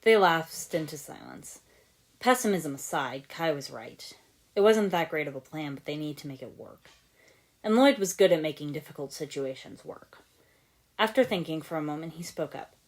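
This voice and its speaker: A woman's voice: low-pitched, animated, normal speed. A woman reading fan fiction aloud as a scripted audiobook narration. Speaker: podfic narrator.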